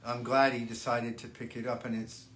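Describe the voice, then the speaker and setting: A man's voice: medium pitch, flat delivery, a bit bright. An older man, a musician hosting a fan livestream, chatting casually and often stopping to restart his sentences. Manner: halting, frequent restarts and repeated words. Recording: echoey room, faint ambient noise.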